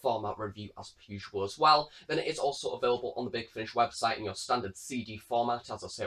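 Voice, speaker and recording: A man's voice: lowish voice, fairly bright tone. A British man in his late teens giving a casual, wordy product review to camera. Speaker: YouTube reviewer.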